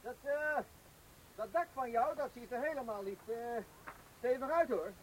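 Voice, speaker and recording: A man's voice: very high-pitched, lively intonation, normal speed, a little hiss or hum, rough recording. An actor playing a hesitant, gullible suburban husband and father who stammers and repeats himself in a radio comedy play. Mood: nervous.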